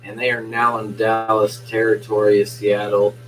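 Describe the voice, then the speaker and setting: A young man losing his voice, talking quietly while streaming a live play-by-play of a game from home at night. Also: lowish voice, completely flat delivery, moderate pace, noisy background. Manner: quiet, subdued talk. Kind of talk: casual live-stream sports commentary.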